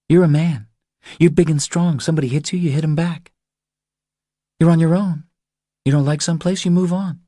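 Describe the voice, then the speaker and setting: A battered young mother as a male audiobook narrator plays her pleads her case in short, earnest phrases. The voice is soft, husky and lifted, recorded very dry, with a trapped yet defiant temper. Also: fairly high pitch, dry recording, pitched above the performer's own voice, lightly acted.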